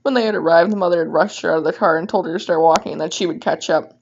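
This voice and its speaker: A woman's voice: fairly low pitch, racing, gravelly, darkish tone. A woman casually reading her own fan fiction aloud, informally breaking off to comment on it. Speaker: fan fiction writer and reader. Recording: dull, lo-fi microphone sound.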